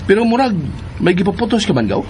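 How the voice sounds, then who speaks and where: A man's voice: high-pitched, very animated, quick pace. An actor playing a cheerful, affectionate young husband and father in a Philippine radio drama.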